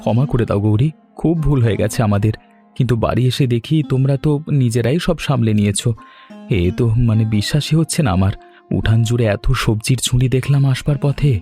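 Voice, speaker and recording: A man plays a well-meaning village man in a cartoon drama. His voice sits at a medium pitch and is conversational, with music behind it. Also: fast, dark tone, natural voice. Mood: apologetic. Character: village men: reassuring doctor and apologetic husband. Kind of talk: Bengali animated family story dialogue.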